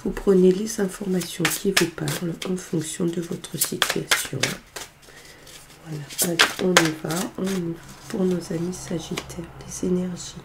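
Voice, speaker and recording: A woman's voice: fairly low pitch, steady tone, measured pace, background noise. A woman with a cold reading tarot cards in a casual, hesitant way, with lots of filler sounds. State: has a cold, congested voice. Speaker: tarot card reader.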